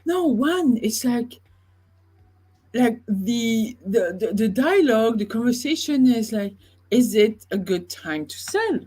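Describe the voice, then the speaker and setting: A chatty woman with a slightly high voice co-hosts a casual real estate talk. She laughs easily and often trails off mid-thought. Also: expressive, slow, mellow, breathy edge. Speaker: real estate podcast co-host. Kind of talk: casual podcast chat.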